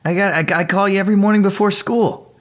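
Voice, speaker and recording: An actor playing an earnest, awkward young college student in an audio drama, casual and hesitant in manner. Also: male voice, sits high, steady tone, fast, close to an everyday voice. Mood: affectionate, teasing. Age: young adult.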